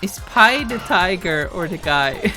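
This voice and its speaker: Woman, relaxed gaming streamer, lilting delivery, game music underneath. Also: on the low side. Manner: chatty, rambling, quick to laugh. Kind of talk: casual gaming stream chat.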